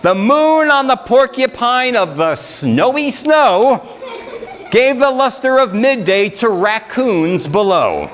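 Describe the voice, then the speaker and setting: Very high-pitched, animated man reading aloud. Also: slow. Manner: animated, theatrical delivery. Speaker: librarian storyteller. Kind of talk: live storytime read-aloud of a comic rhyming poem.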